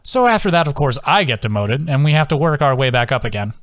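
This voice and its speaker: Man playing an animated, gleeful soldier storyteller. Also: mid-range voice, fast talker, no echo, clean studio sound. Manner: laughing, excited, informal, swearing storyteller.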